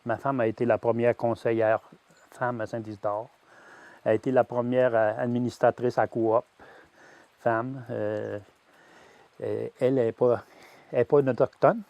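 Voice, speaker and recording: A man in his sixties with a Québécois French accent, a farmer giving a plain personal testimony, pausing often with fillers and restarts. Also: mid-range voice, little pitch movement, gravelly, darkish tone, dry recording, muffled recording. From Quebec. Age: 60s. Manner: hesitant, many "euh" fillers and restarts. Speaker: grain-fed veal farmer.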